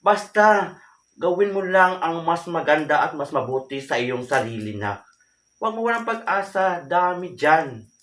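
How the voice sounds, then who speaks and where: A woman playing a concerned mother who gently scolds and consoles, fussing with repeated questions and reassurances in an amateur solo drama. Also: low voice, expressive, a little slow, pitched below the performer's own voice. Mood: reassuring. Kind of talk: amateur solo monologue acting. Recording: roomy, distant microphone, faint noise and clicks.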